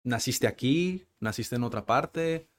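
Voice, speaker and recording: A man hosts a casual interview. He rambles in halting phrases and leans on fillers and tag questions. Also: mid-range voice, animated. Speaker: podcast host.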